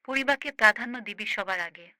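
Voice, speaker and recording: A woman's voice: medium pitch, fairly quick, sharp tone, no echo, studio quality, natural voice. A woman playing a firm, caring older sister in a cartoon, lecturing her grown brother in an emphatic, preachy way. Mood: earnest, advising. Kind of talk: amateur cartoon voice acting.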